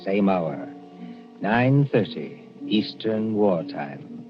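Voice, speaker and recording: A man announcing formally on 1940s radio, with a measured, dramatic and deliberate delivery. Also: low voice, very animated, over music. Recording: muffled 1940s radio broadcast.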